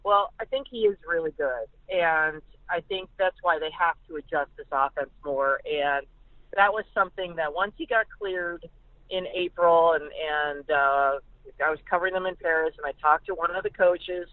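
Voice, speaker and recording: A woman speaking as a sportswriter guest over a phone line, analysing football casually and haltingly, often repeating words. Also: lowish voice, dry recording. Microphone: phone call. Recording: narrow, tinny phone line.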